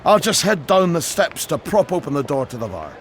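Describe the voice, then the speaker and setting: Casting: burly tavern keeper, jovial and gruff, higher-set animated voice, audio drama, some background noise. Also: male voice, a little fast. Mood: hearty. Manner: hearty, colourful, exclamatory, old-fashioned turns of phrase. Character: burly, jovial, gruff male bar owner, hearty Scot. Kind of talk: full-cast audio drama acting.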